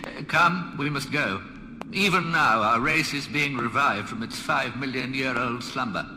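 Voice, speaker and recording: An actor playing an ancient, mild-mannered alien planet builder in a radio comedy, grandiose but lapsing into vague, chatty asides. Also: male voice, mid-range voice, a little slow, close to an everyday voice. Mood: grave, apologetic. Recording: cavernous reverb, noisy background.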